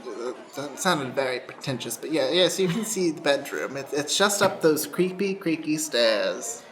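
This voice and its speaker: A woman in an improvised comedy radio play, playing a creepy, grieving old restaurant owner with halting, melodramatic delivery. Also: sits low, expressive. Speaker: improv actor.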